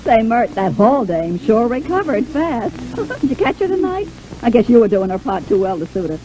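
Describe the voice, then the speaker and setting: A woman's voice: fairly high pitch, big pitch swings, moderate pace, dark tone, poor audio. A woman playing an outspoken, breezy showgirl with slangy talk in a 1940s radio drama. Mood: teasing. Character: lively, outspoken, loyal married showgirl. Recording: old 1940s radio broadcast.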